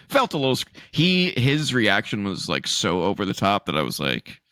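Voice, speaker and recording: A man co-hosting a casual podcast, stuffy and sniffly from allergies, loose and laughing, swooping high for emphasis. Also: wildly swooping pitch, moderate pace, bright, forceful tone, no echo, studio quality. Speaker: podcast co-host. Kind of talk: casual chat.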